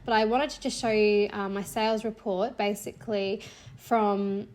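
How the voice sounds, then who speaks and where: A woman's voice: mid-range voice, steady tone, normal speed, darkish tone. A woman in her thirties, slightly nasal from a cold, chatting casually and cheerfully through a screen-share of her monthly sales. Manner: chatty and upbeat, frequent "like" and "so", light laughs. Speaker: clipart seller sharing a monthly income report.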